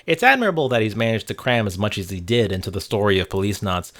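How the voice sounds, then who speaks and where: A man's voice: fairly low pitch, a bit bright. A man narrating a casual video game essay with wry, sarcastic commentary and casual swearing. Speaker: host of a video game review channel.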